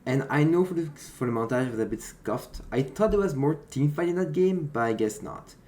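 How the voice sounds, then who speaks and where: Fast-talking French-accented man. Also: medium pitch, animated, a little mellow. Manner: explanatory, slightly non-native phrasing. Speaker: gaming content creator. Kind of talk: gameplay commentary voice-over. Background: background music.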